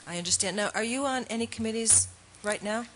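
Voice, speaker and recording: A woman's voice: fairly high pitch, fast talker, fairly bright tone, a little hiss or hum. A woman on a town board asks an applicant questions in a friendly, chatty way. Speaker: board member. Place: town board meeting room.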